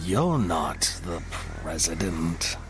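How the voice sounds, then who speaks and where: A man's voice: sits low, sing-song, music in the background, full character voice. A man playing a tall, stately alien visitor in a comedic audio drama, speaking formally and literally. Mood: stately, matter-of-fact. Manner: formal, deliberate speech.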